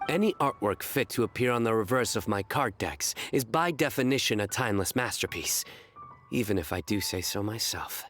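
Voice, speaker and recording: A man's voice: fairly low pitch, lively intonation, normal speed, fairly bright tone, faint music behind. A man voicing a serious, proud young card-game devotee in a video game, grave and formal in his wording. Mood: earnest pride. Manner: grave, formal, literal-minded.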